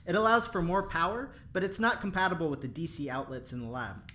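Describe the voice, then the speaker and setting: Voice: medium pitch, a little fast, pushed and bright, lightly acted. An actor playing an eager, easygoing lab engineer in an audio drama, talking in a chummy, folksy way. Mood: eager, cheerful. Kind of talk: scripted audio drama acting.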